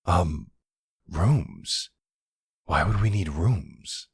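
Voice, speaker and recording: A man plays a sweet, protective young boyfriend in a roleplay audio. His voice is low and husky, recorded dry and close. He talks tenderly and casually, with an uneasy hesitance. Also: expressive, drawn-out words, no room sound, full character voice. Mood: uneasy, hesitant.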